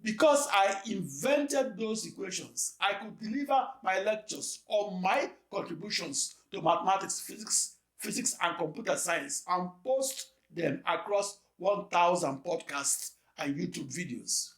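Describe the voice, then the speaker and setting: A man gives a scripted lecture with a measured, emphatic delivery. His voice sits fairly high and moves slowly from phrase to phrase. The recording has a roomy echo around it. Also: a bit bright.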